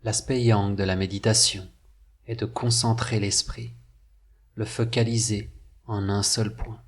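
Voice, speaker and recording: Low-pitched male meditation narrator. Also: level tone. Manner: measured, deliberate phrasing with pauses. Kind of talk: scripted guided meditation narration.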